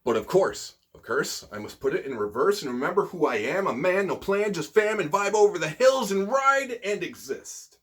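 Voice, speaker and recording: A man with a husky voice, an amateur poet hosting his own videos, talking casually and reciting spoken-word poetry. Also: high voice, animated, moderate pace, few pauses, fairly bright tone.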